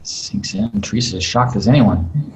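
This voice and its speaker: A man's voice: fairly low pitch, lively intonation, moderate pace, a little mellow. A man reading stage directions as narrator at a script table read. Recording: roomy echo with faint noise.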